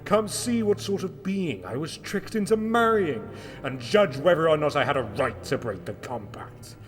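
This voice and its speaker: A man playing a bitter, defiant English gentleman in a period audio drama, sardonic and commanding in manner. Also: on the high side, very animated, normal speed. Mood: furious, bitter. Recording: long hall reverb, music underneath.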